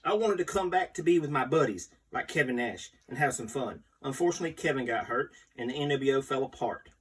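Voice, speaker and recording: A man's voice: medium pitch, animated, a little fast, slightly rough. A man reading a magazine article aloud as the host, in a scripted storytelling read. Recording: distant microphone.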